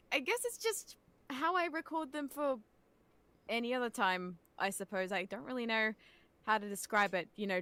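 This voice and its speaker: A woman's voice: medium pitch, a bit bright, no echo. A woman voice actor chatting casually as a guest, faltering and a little self-deprecating. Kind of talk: casual livestream chat.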